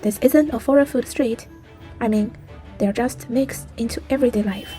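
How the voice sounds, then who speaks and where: A woman narrates a travel vlog in calm, scripted voice-over. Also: fairly high pitch, moderate pace, faint music behind. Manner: calm storytelling.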